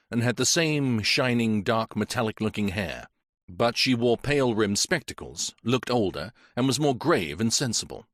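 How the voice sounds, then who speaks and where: A man's voice: lowish voice, fairly bright tone, no echo, clean studio sound. A man reading a mystery audiobook as narrator, telling the story expressively. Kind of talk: scripted narration.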